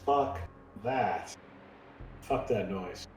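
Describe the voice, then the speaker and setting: A man's voice: on the low side, lively intonation, extremely slow, soft background music. A man playing a tabletop game, bantering casually and bluntly in a roomy space.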